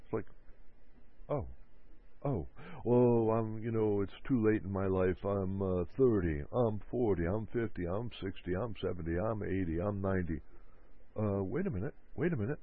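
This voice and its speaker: A low-voiced, husky man gives a motivational self-help talk. He keeps circling back to his points and slips into short acted-out bits of people's anxious self-talk. His voice comes through a narrow, phone-like radio signal. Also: moderate pace, dry recording. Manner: repetitive, acts out quoted inner voices.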